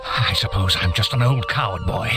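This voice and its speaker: An actor playing a bitter, treacherous old wizard in a fantasy video game, with a dramatic, emphatic delivery. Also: male voice, lowish voice, moderate pace, loud music behind the voice, natural voice. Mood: defeated, regretful. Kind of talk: scripted fantasy game acting.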